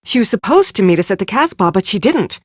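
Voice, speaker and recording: An actress playing a brisk, sharp-tongued, exasperated woman on a film crew in an adventure game, speaking with sarcastic emphasis. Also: medium pitch, sing-song, slightly rough, dry recording, clean studio sound. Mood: worried. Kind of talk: scripted game character dialogue.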